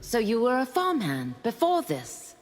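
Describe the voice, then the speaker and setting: A woman's voice: on the high side, huge pitch swings, a little slow, long reverb tail, full character voice. An actress playing a poised, wry warrior woman in video game fight banter. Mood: teasing. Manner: wry, coolly amused.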